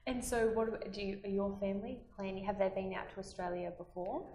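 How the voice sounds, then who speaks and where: A woman's voice: medium pitch, fast, dark tone. Woman host in an echoey room. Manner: asks short, friendly follow-up questions. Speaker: interview host. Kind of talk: casual conversational interview.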